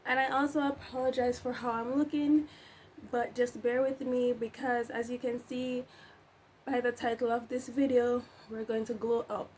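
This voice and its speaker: A young woman, hoarse while getting over the flu, talks through a makeup tutorial in a chatty, emphatic way with joking asides. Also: fairly high pitch, a little mellow, a little hiss or hum. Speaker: makeup vlogger, licensed cosmetologist.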